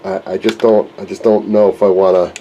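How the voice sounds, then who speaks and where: A man's voice: deep voice, level tone, a little fast, warm tone, lo-fi sound, slight background noise. A man in his forties, congested from a cold, chatting casually in a rambling, self-correcting way while filming in his home workshop on a handheld camera. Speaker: RC plane hobbyist and channel host. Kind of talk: casual workshop vlog chat. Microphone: handheld camera held close.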